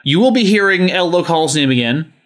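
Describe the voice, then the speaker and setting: A man's voice: a little high, expressive, a little fast, a bit bright. A man hosting a podcast, talking to listeners in a frank, emphatic way with some swearing. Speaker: podcast host. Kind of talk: podcast talk, addressing listeners.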